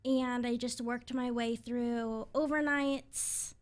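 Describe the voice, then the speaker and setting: A woman's voice: a little high, steady tone, takes it slowly. A woman in her twenties, a radio presenter, giving upbeat conversational answers as an interview guest. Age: 20s.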